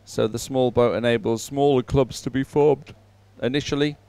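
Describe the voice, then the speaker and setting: Clear-voiced male live sports commentator. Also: mid-range voice, steady tone, dark tone, no room sound. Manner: hesitant, halting phrasing with frequent pauses. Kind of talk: unhurried live race commentary, filling between races.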